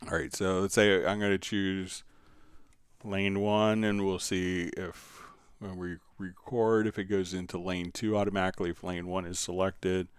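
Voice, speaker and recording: A man hosting a casual live software tutorial, thinking aloud informally as he demonstrates. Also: low voice, flat delivery, a little slow, smooth, no room sound. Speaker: livestream host, software instructor.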